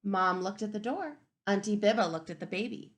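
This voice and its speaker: A woman teacher reading a picture book aloud to young children in an expressive, instructive way, pausing to ask questions. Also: medium pitch. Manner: animated, instructive, asking the listeners questions.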